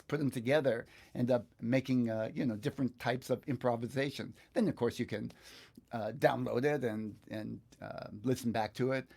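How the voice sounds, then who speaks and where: An American man in his sixties with a medium-pitched voice hosts an online guitar lesson. He explains things in an easygoing, conversational way, like a teacher chatting casually on a livestream. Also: a little mellow. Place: small indoor home studio.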